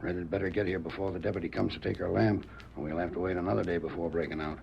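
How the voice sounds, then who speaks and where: An actor playing a cunning, cruel jailed outlaw in an old radio western, speaking softly with an American accent. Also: male voice, sits low, steady tone, racing, vocal fry. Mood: sly, conspiratorial. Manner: soft-spoken, conspiratorial, folksy grammar. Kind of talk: 1940s radio western acting. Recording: dull, hissy vintage radio recording.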